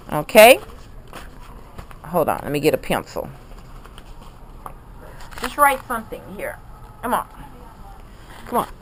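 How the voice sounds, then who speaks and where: Lively grandmother coaxing and encouraging. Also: medium pitch, low-quality recording. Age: middle-aged or older. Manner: encouraging, with exclamations and repeated prompts. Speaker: grandmother coaching a child to read. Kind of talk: casual home chat, coaxing and prompting.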